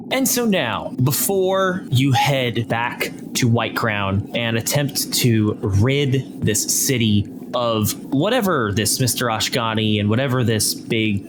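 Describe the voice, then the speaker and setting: A man's voice: medium pitch, noisy background. A man running a tabletop game as game master, encouraging and prompting his players. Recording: background music. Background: music.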